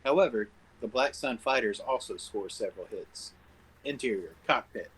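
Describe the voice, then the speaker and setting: A man's voice: mid-range voice, normal speed, fairly bright tone, slightly rough, poor audio. A man serving as narrator, reading screenplay stage directions at a table read.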